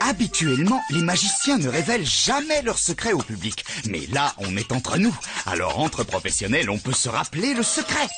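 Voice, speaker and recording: A kindly adult cook in a children's cartoon lesson. Her pitch is a little low and swoops expressively as she patiently explains and encourages. Music plays prominently underneath. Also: wildly swooping pitch, normal speed. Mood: instructive. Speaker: cooking guide. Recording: music behind the voice.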